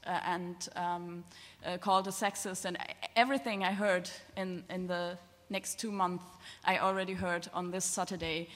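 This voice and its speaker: A woman speaking unscripted as a panelist in a public discussion, halting and often searching for words. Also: lowish voice, flat delivery. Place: large reverberant hall. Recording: echoey hall with long reverb.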